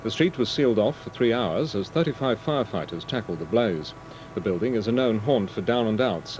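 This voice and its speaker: A man's voice: lowish voice, darkish tone, slight background noise. An English man reading a formal, scripted television news bulletin. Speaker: news reader.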